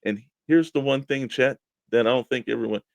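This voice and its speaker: A man's voice: mid-range voice, brisk, gravelly, no room sound. Male American co-host, casual chatty banter, mid-range voice, dry close recording. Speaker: co-host of a toy collecting show. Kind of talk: casual banter, sponsor reads and mock weather report.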